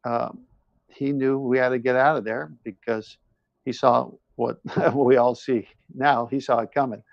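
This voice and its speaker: A man's voice: mid-range voice, steady tone, a little slow, gravelly, no room sound, highs cut off. A man talking casually and at length, earnest and emphatic, often repeating his phrases. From born in Iran, moved to the United States at seven. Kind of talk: casual conversation, personal testimony and opinion.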